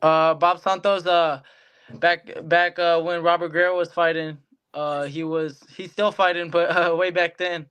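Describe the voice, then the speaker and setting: A man's voice: on the high side, flat delivery, moderate pace, fairly bright tone, clean tone, dull audio. A young American man giving casual interview answers as a guest athlete, in colloquial, laid-back phrasing. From Hawaii, United States. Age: young adult. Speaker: professional boxer, guest.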